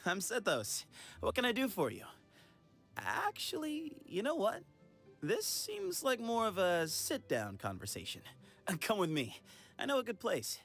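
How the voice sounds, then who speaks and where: A woman's voice: on the low side, dry recording, full character voice. A woman playing an easygoing, friendly young man with a breezy, casual manner in video game style. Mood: friendly, inviting. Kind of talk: video game character acting.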